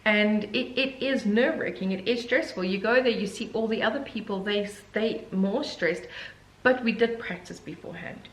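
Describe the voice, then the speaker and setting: A woman's voice: mid-range voice, normal speed. A woman from South Africa with a South African accent, chatting as an interview guest and laughing easily. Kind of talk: casual interview. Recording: echoey room, faint hiss.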